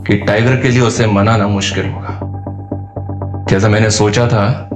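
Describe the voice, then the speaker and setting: Man acting deep-voiced, hearty businessman. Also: fairly even intonation, unhurried, music in the background, lightly acted. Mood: amused, advising. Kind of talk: Hindi audio drama acting.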